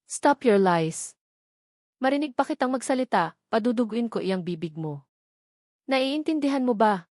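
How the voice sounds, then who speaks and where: A woman's voice: fairly high pitch, expressive, measured pace, pauses often, darkish tone, no room sound. A domineering, wounded ex-groom , acted out by a woman narrator spits harsh accusations in a Filipino accent. The audiobook sound is close and dry. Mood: menacing, bitter. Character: furious, cruel, betrayed man, domineering former groom.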